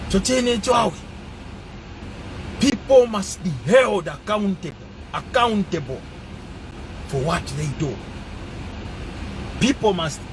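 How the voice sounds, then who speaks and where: A man speaks emphatically with a high, lively voice, switching between languages. Faint traffic noise runs in the background outdoors. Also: takes it slowly, long pauses. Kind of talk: passionate monologue.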